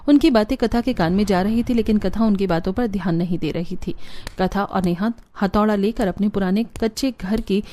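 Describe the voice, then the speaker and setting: A woman's voice: mid-range voice, normal speed, dark tone. A woman reading scripted narration for a moral cartoon story.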